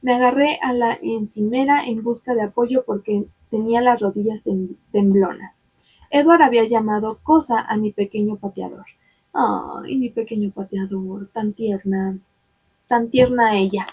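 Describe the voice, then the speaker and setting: A woman reads a novel aloud to her viewers with an expressive lilt, now and then stumbling over a word and restarting it. Her voice sits a little high and has a husky, breathy edge. She breaks off now and then for chatty asides. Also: a little slow, lo-fi sound. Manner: expressive reading with slips and restarts. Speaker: booktuber reading a novel aloud.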